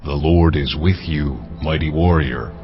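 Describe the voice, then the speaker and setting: An actor playing the solemn, commanding voice of God in a dramatized scripture recording, speaking deliberately and with authority. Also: male voice, a bass voice. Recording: muffled audio with music underneath.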